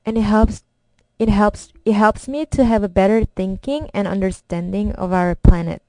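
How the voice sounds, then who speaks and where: A young college student from Korea, speaking English with a strong Korean accent. Her voice is mellow and smooth, and it sounds close and dry. She reads carefully and sometimes restarts a word. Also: mid-range voice, normal speed, dry recording, poor audio. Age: young adult. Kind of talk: read personal introduction.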